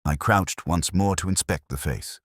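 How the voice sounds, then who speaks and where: A man reading a scripted first-person mystery story as an audiobook narrator, in a measured, literary delivery. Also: low-pitched, dry recording, studio quality.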